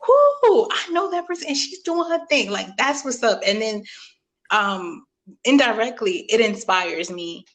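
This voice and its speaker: A woman's voice: fairly high pitch, big pitch swings, measured pace. A woman hosting a podcast interview, chatty and laughing often, acting out quoted reactions in casual talk. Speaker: podcast host.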